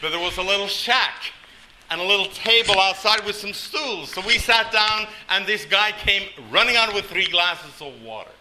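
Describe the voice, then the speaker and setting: A man's voice: sits high, animated, moderate pace, bright, forceful tone, slight background noise, poor audio. An older man from Norway preaching a sermon, telling stories with folksy, self-deprecating humor. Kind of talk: sermon with storytelling.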